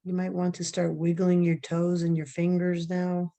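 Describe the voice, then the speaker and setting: A woman leading a guided relaxation meditation, giving soft, calm, drawn-out breathing instructions. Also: lowish voice.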